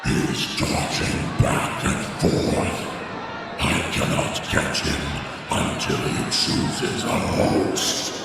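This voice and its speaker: A man playing an imposing shadow demon in a fantasy audio drama, with a guttural, whispery growl and a slow, menacing delivery. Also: heavy background noise. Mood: menacing, urgent. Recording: echoing voice over music and crowd.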